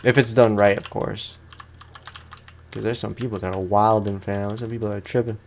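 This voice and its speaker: Low-voiced man rambling over gameplay. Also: steady tone, fairly quick, slight background noise. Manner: rambling, informal slangy chat. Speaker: gaming content creator, let's play host. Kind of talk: casual let's-play commentary. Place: room at home.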